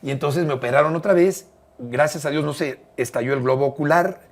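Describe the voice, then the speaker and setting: Husky-voiced Mexican man telling a story. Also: fairly high pitch. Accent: Mexican Spanish. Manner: measured, detailed storyteller with frequent hesitations. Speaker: interview guest, sports broadcaster. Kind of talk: conversational storytelling.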